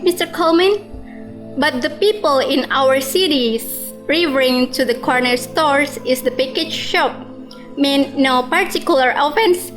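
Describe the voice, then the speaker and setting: A woman playing a measured, polite, formal disciplinary panel member in a radio drama. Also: high-pitched.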